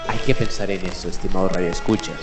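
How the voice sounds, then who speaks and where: A man hosting a late-night radio show, delivering a reflective, explanatory monologue with deliberate pauses in an announcer persona. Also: sits low, steady tone. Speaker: host of a late-night mystery radio program. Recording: roomy echo with music behind. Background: music.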